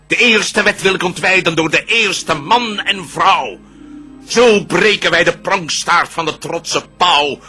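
Slow, sharp-voiced scheming devil leader. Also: male voice, high-pitched, faint music behind, heavily acted. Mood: urgent, defiant. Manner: declamatory, slow theatrical verse delivery. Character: scheming male devil leader, proud, cautious, vengeful. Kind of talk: radio drama verse acting.

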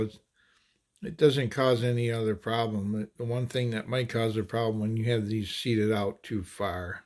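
A man casually demonstrating ammunition handloading at a table, talking in halting phrases with many fillers and restarts. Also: fairly low pitch. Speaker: handloading channel host.

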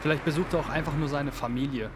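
A man's voice: on the high side, fast talker, background noise, lightly acted. A man acting a young student in an audio drama, a teasing but concerned friend who talks colloquially. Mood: puzzled, uneasy. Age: young adult. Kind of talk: naturalistic audio drama dialogue.

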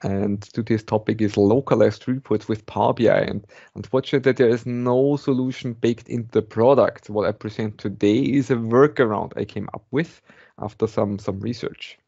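A man's voice: on the low side, fairly even intonation, normal speed, vocal fry, warm tone, husky, no room sound. A man in his forties with an Austrian German accent, presenting a technical talk on a video call in a conversational way, often restarting his sentences. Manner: conversational, with frequent restarts and repeated words. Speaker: conference presenter. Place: home office, indoors. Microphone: webcam on a video call. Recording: dull, slightly lo-fi video call audio.

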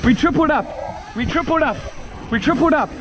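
A young South African man chatting casually and excitably while fishing, with slang and swearing. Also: very high voice, brisk. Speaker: recreational angler. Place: outdoors on a small open fishing boat at sea. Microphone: action camera worn by an angler. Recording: loud wind and boat engine noise.

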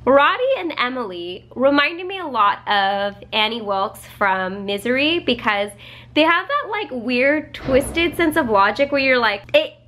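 A woman's voice: sits high, expressive, unhurried, sharp tone, slight background noise. A young woman chatting casually to camera at home about a book, congested from a cold, with lots of fillers and laughs. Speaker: book vlogger. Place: home living room, indoors.

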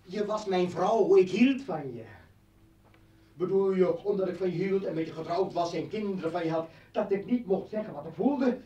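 An actor playing a bitter, menacing revolutionary ex-husband in a radio play, with a taunting, rhetorical manner. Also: male voice, high-pitched, normal speed, mellow, close to an everyday voice. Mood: impassioned, indignant. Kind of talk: Dutch radio play acting. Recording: dull, echoey old radio recording, distant.

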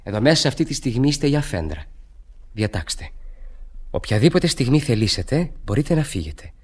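An actor playing a young, romantic student who flatters a lady in courtly, theatrical style, in a radio play. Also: medium pitch, husky. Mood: gallant, curious. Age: young adult. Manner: theatrical, courtly, emphatic repetitions. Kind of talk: stage-style Greek radio theatre acting.

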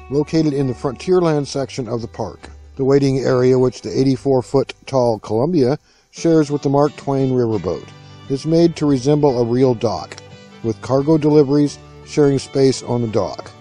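Mellow male documentary narrator. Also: medium pitch, normal speed, faint music behind. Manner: steady, factual reading. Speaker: narrator of a park history video.

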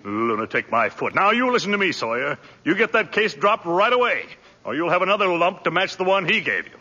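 A man's voice: sits high, very animated, a little fast, bright, forceful tone, full character voice. A man playing a blustering, indignant department store boss, scolding and commanding in 1940s radio drama style. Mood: angry. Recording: dull, reverberant old radio broadcast.

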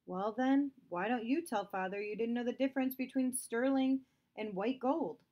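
A woman reading aloud, voicing an anxious son who hesitates and stammers, pitched higher than her narration. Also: lively intonation, normal speed, warm tone. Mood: defensive. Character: anxious young son caught in a lie.

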